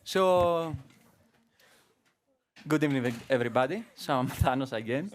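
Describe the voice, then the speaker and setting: A man's voice: mid-range voice, measured pace. A man from Greece with a Greek accent speaking on a panel, searching for his words as he goes. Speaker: panelist, startup founder. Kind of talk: live panel introduction.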